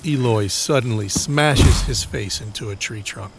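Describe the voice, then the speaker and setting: A man narrating a scripted crime-horror audio drama in a measured, deliberate storytelling manner. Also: on the low side, vocal fry, heavy background noise.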